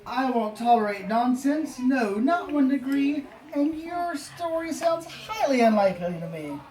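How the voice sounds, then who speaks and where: A woman's voice: high-pitched, lively intonation, measured pace, darkish tone. Woman acting a sneering, stern schoolmaster in an echoey room. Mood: disdainful. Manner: sneering, clipped, scolding. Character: stern, scornful male schoolteacher, easily exasperated. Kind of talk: storybook character reading. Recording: echoey, distant, faint background noise.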